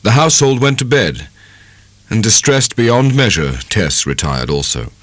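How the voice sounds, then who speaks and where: A man giving an expressive, measured narration of a literary audiobook. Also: fairly low pitch, no echo.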